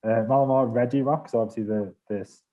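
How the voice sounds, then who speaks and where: Mellow man, rambling gamer chat. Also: medium pitch, steady tone, brisk, muffled recording. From Liverpool, England. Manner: rambling, laughs a lot, self-deprecating. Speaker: podcast panelist. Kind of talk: casual gaming chat.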